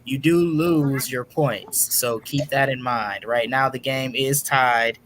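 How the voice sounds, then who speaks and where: A man hosting a casual online trivia game show, announcing questions and results in a deliberate, drawn-out way. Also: medium pitch, steady tone, fairly bright tone, slight background noise.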